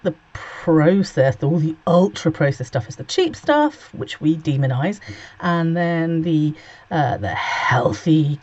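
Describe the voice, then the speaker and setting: A man's voice: on the high side, darkish tone. A man in his forties hosting a casual solo monologue, sarcastic and blunt, swearing freely and a bit sniffly with a cold. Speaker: host. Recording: dull lo-fi recording.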